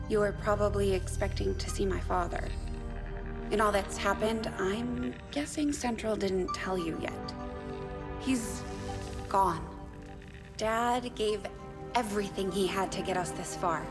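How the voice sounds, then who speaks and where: Game chief engineer: young, eager, confident, earnest, mid-range voice over loud music. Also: female voice, medium pitch, slow pace, pauses often. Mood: somber. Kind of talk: video game cutscene acting.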